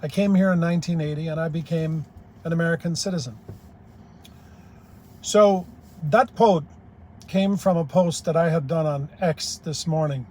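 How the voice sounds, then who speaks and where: A man's voice: a little high, moderate pace, long pauses, a little mellow, slight background noise. An older man from Ireland giving a blunt, plain-spoken, rambling opinion monologue as a YouTube commentator.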